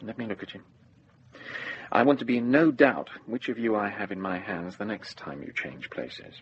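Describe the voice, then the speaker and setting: An actor playing a brisk, shrewd deputy sheriff with an air of authority in a radio drama. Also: male voice, fairly low pitch, dull audio, a little hiss or hum, close to an everyday voice. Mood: stern, measured.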